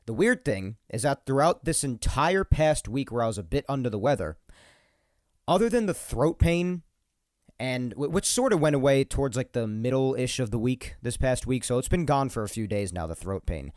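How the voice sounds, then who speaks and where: A man's voice: medium pitch, animated, moderate pace, dry recording, studio quality. Congested young man with a rambling podcast chatter. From New York. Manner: rambling, repeats himself, laughs between points. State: congested and a bit nasal from a cold. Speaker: podcast host. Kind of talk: casual solo podcast monologue. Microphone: podcast microphone.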